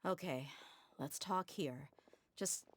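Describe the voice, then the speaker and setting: A woman's voice: low-pitched, slow, slight background noise. A voice actress playing a tough, easygoing young woman mercenary in a video game, talking in a casual, colloquial way. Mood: explaining. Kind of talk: video game character voice acting. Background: game music.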